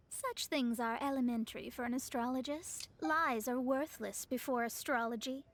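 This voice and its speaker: A woman's voice: sits high, animated, unhurried. An actress voicing a proud, confident young female astrologist in a video game, speaking with poised self-assurance. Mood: self-assured.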